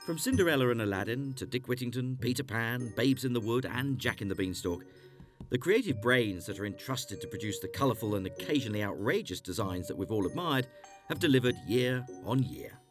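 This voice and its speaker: A man's voice: mid-range voice, expressive, fairly quick. Man narrating over faint music. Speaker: documentary narrator. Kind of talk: scripted narration.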